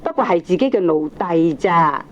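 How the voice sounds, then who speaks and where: An actress with a Hong Kong accent playing an opinionated middle-aged aunt, lecturing firmly and emphatically in a radio drama. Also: female voice, on the low side, lively intonation, normal speed, lightly acted. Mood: preachy, assured. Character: opinionated aunt, firm, preachy family adviser. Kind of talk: Cantonese radio drama acting. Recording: dull, slightly noisy old cassette recording.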